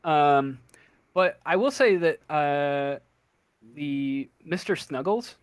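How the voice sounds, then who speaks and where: A man narrating an improvised tabletop game as game master, halting and searching for words. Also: fairly high pitch, expressive, smooth, no echo.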